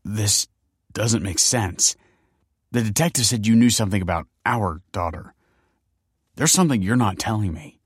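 A man's voice: lowish voice, moderate pace, long pauses, slightly rough, dry recording, studio quality, pitched above the performer's own voice. A man voicing a shocked, angry young husband who confronts his wife with incredulous accusations in a story reading. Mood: suspicious.

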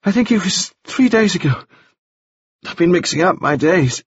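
A feverish, wounded young gentleman with a high, very bright voice. He is prim and overly courteous even while dazed and muddled. The sound is dull and dry, with a breathy edge. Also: moderate pace, dry recording.

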